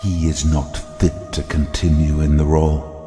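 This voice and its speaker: A man's voice: rumbling low, flat delivery, normal speed. An actor playing a cold, proud, inhuman Night King in an audio drama, commanding and imperious. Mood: grave resolve. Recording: echoey hall-like reverb under loud music.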